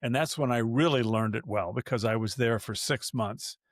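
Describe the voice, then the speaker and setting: A man's voice: medium pitch, normal speed, no room sound, studio quality. A man hosting a podcast interview, talking in a loose, rambling way with frequent restarts. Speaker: podcast host.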